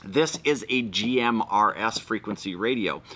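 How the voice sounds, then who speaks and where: Bright-voiced man explaining gear casually. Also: on the low side, measured pace, a little hiss or hum. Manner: informal, explains step by step. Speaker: video host. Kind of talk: casual unboxing and product walkthrough.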